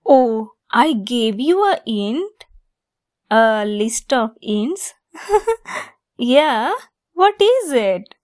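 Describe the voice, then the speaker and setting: A woman's voice: fairly high pitch, big pitch swings, drawn-out words, long pauses, darkish tone, no room sound, clean studio sound, heavily acted. A woman acting a giggly, teasing young woman fond of a longtime friend, in a romantic ASMR roleplay. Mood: amused, flustered.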